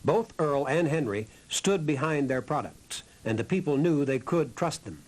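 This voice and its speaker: A man's voice: fairly high pitch, expressive, moderate pace, a little mellow, low-quality recording. A man reading a scripted historical documentary narration. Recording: narration over background music. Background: music.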